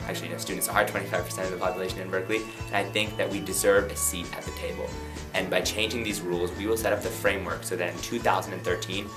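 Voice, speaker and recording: A young man in his twenties from Pakistan, a student candidate giving an earnest campaign pitch and then answering light interview questions. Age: 20s. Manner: earnest, rapid and persuasive.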